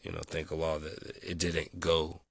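Low husky young man, stuffy with a cold. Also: normal speed, no echo. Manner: relaxed, rambling with many ums. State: sick, nasal and dried out. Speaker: vlogger. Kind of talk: casual audio log.

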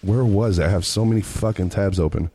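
A man's voice: sits low, a little fast, mellow, slightly rough. A man and podcast co-host chatting casually, swearing freely and joking around. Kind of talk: casual chat.

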